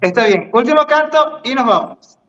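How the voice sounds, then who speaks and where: Male co-host, very high register, informal live presenting, muffled lo-fi sound. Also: lively intonation, moderate pace, a bit bright. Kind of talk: casual live hosting.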